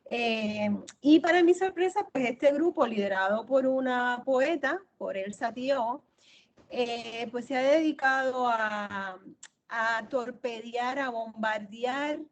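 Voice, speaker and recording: A woman's voice: on the high side, takes it slowly. A middle-aged woman from Cuba answering interview questions as an author, explaining at length and repeating phrases for emphasis.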